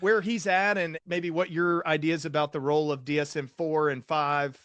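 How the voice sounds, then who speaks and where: Man, fairly high voice, very dry recording, measured, thoughtful, podcast host interviewing. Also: level tone, fairly bright tone, no echo, muffled recording. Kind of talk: conversational interview.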